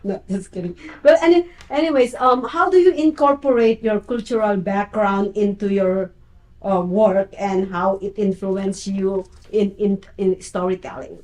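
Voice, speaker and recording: A woman with a Filipino accent, hosting a casual interview, chatty and halting as she repeats words while searching for what to say. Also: medium pitch, darkish tone. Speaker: host. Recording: roomy echo.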